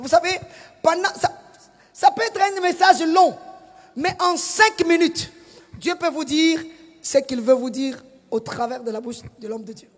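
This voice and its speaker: Guy with a really high voice hyping up the guest preacher, echoey church hall. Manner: exhorting, emphatic, hesitant with fillers. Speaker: pastor introducing the guest speaker. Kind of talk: church introduction. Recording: long church hall reverb.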